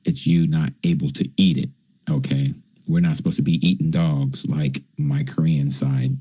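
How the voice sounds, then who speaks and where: A man's voice: a bass voice, fairly even intonation, moderate pace, mellow, slightly rough, dry recording. A man teaching scripture on a livestream, answering viewers' questions in a casual way and often checking in with "okay?". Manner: explains with frequent "okay?" checks.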